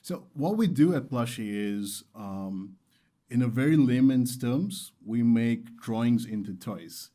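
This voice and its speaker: A man's voice: medium pitch, expressive, unhurried, warm tone. A young man from Qatar telling his story as an interview guest, earnest and enthusiastic, repeating phrases for emphasis. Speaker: interview guest, startup founder.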